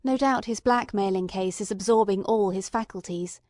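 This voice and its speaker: Audiobook narrator: woman, medium register, dry close sound, measured, expressive literary reading. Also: medium pitch, no echo.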